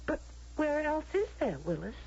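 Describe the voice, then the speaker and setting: A woman's voice: high voice, big pitch swings, normal speed, gravelly, a little mellow, heavily acted. An actress with an American accent playing a yearning, earnest young woman in a theatrical, questioning radio drama style. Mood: pleading, hopeful. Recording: muffled, lo-fi old radio broadcast.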